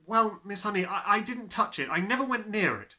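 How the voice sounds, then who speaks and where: A man voicing an earnest, excitable little schoolgirl in a storybook, eager and insistent. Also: sits high, brisk, slight background noise, pitched above the performer's own voice, full character voice.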